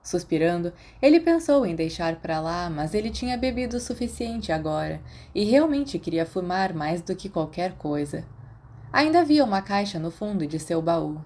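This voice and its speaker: A woman's voice: on the low side, big pitch swings, moderate pace, darkish tone. A woman with a Brazilian accent reading scripted fiction as an audiobook narrator.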